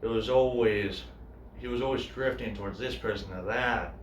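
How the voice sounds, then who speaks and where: A man playing a grieving cook in a dramatic monologue, speaking quietly and hesitantly, as if confessing. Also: lowish voice, moderate pace, far from the mic, pitched below the performer's own voice, natural voice. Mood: hurt, confessional. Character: grieving male cook, subdued, haunted by his brother. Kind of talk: dramatic monologue, naturalistic screen-style acting. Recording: echoey room, faint noise.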